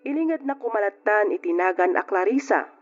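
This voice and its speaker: A woman's voice: medium pitch, expressive, fairly quick, natural voice. A woman as a reflective radio drama narrator, muffled. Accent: Ilocano. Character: reflective married woman recounting her family's hardship. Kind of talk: letter narration in old Ilocano radio drama. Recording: dull lo-fi radio drama recording. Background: music.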